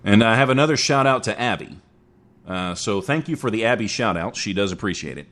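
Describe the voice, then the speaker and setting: A man's voice: fairly low pitch, expressive, brisk, fairly bright tone. A man in his forties hosting a casual solo talk show, chatty and rambling, joking at his own expense. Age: 40s. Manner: chatty, rambling, self-mocking. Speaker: internet radio show host.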